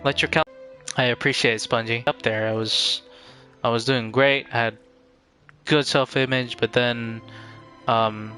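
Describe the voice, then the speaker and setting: Male streamer, mid-pitched and bright, reflective casual chat with halting phrasing over faint game music. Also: steady tone.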